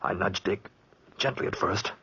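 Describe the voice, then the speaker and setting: Husky, tense man in vintage radio suspense. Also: low voice, normal speed, exaggerated voice. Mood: haunted, tense. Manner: hushed, haunted, dramatic. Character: exhausted, frightened traveler recounting a terrible night. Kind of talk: 1940s radio acting, first-person suspense narration. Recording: muffled vintage 1940s radio recording.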